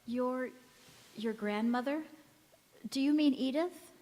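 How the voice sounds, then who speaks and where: Woman acting strange wistful girl in a radio play, highish voice, gentle and evasive, big echoey hall. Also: takes it slowly. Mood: knowing, searching. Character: mysterious ragged young woman, wistful, knowing, gently evasive. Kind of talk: stage radio drama acting. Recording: echoey hall with long reverb.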